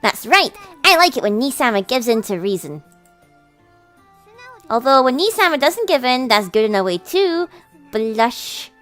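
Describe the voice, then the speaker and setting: A prim young lady, played by a woman, the doting little sister of a visual novel. The voice is high and lilting, composed but teasing and sweetly scolding. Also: very animated, a little slow, fairly bright tone, faint music behind. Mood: sweetly devoted.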